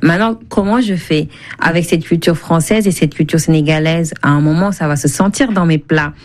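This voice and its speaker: A woman's voice: sits low, fairly quick. A woman from Senegal explaining things conversationally as a guest in a radio interview, rephrasing as she goes. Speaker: guest, cookbook author and food blogger.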